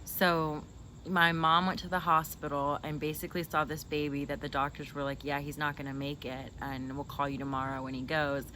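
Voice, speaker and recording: A woman's voice: sits low, little pitch movement, normal speed, bright, forceful tone. A woman hosting a casual chat with her brother, telling stories in an informal, digressive and giggly way. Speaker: host interviewing her brother. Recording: clearly noisy background.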